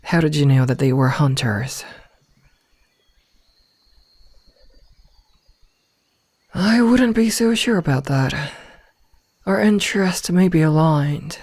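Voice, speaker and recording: Woman acting as a werewolf woman, possessive, smitten, threatening, slow measured speech, part whisper, dry close-mic ASMR drama. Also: low-pitched, darkish tone, no echo. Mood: suspicious, stern. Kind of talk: ASMR roleplay audio drama. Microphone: close, dry microphone.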